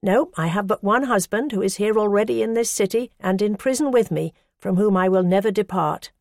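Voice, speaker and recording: A woman's voice: a little fast, darkish tone, no echo, clean studio sound, close to an everyday voice. A woman voicing a defiant, pious woman who scolds her accusers with rising passion. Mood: earnest. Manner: impassioned, scolding, rising pitch.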